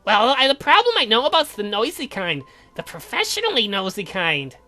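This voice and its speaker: A woman's voice: fairly high pitch, big pitch swings, fairly quick, bright, forceful tone, soft background music. A woman playing eager, chatty young girl cousins in a horror visual novel. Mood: urgent, chatty. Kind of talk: performed visual novel reading.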